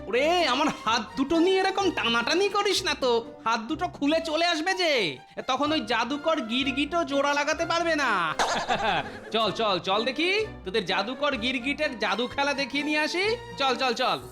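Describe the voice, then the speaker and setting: Jolly cartoon jester grandpa, high-pitched, witty, exclamatory teasing, music behind. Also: female voice, animated, normal speed. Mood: jolly fussing. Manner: playful, exclamatory banter. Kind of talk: cartoon voice acting.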